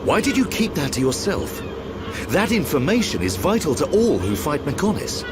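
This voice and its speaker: An actor playing a stern, blunt soldier in a video game. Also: male voice, fairly high pitch, wildly swooping pitch, normal speed, noisy background. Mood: indignant. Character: stern, indignant male soldier comrade. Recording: loud game music behind dialogue.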